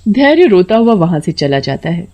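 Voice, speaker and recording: A woman's voice: on the low side, big pitch swings, moderate pace, dull audio. A woman reading a scripted story as its narrator.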